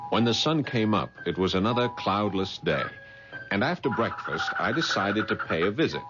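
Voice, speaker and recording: A man's voice: on the low side, animated, normal speed, lightly acted. An actor playing a wry private detective who narrates his own story and turns flustered when startled, in a 1970s radio drama. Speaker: narrator and lead. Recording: dull vintage radio with music.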